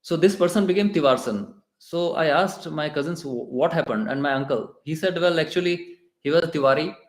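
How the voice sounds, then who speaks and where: A man with an Indian English accent giving a conversational devotional lecture in a temple, pausing and restarting often. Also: a little high. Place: temple hall. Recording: echoey temple room.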